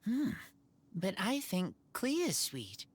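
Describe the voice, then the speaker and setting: A man playing a gentle feral teenage boy in a video game, speaking in halting, terse, simple phrases. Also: high voice, huge pitch swings, slight background noise, exaggerated voice. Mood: shy, earnest. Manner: halting, terse, broken speech. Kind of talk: video game character acting.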